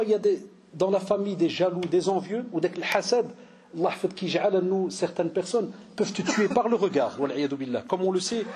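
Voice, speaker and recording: A man in his forties from Belgium, an imam giving a religious lecture, telling lively stories and mixing in Arabic phrases. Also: sits high, slight background noise. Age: 40s. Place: mosque cultural center hall.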